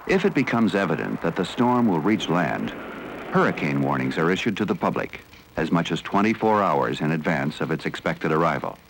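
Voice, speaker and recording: An American man reading scripted educational film narration in a measured, formal, serious manner. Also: deep voice, sing-song, slight background noise, poor audio. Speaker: documentary narrator.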